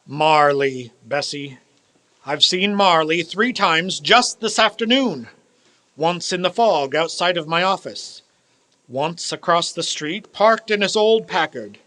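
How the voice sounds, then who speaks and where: A bitter old miser of a businessman, played by a man in a radio drama. The voice sits high for a man and has a hard, bright edge. He speaks formally and pompously, with cold scorn. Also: expressive, slow pace, full character voice. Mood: unsettled. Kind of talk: stage radio drama acting.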